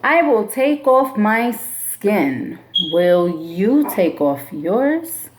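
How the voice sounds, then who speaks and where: A woman teacher reading a picture book aloud to a class in a slow, expressive storybook manner, then giving classroom instructions. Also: mid-range voice, slight background noise.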